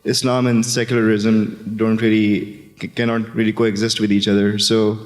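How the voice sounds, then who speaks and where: A man from Pakistan asks a question from the audience, his voice low and quite monotone. He hesitates and searches for words as he goes. The hall adds a long reverb. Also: moderate pace. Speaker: audience member asking a question. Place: university lecture hall.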